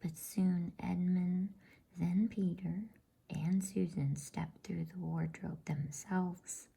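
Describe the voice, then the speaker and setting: A woman whispers softly and breathily in a gentle, informal, unhurried way, hosting an ASMR bedtime reading. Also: on the low side, fairly even intonation, creaky, husky. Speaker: bedtime story reader and host.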